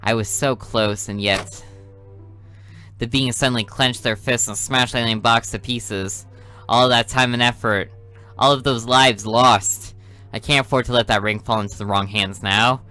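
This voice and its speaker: A man voicing a cold, menacing alien being in a visual novel, speaking slowly and threateningly. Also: medium pitch, steady tone, pushed and bright, faint music behind, pitched below the performer's own voice, close to an everyday voice.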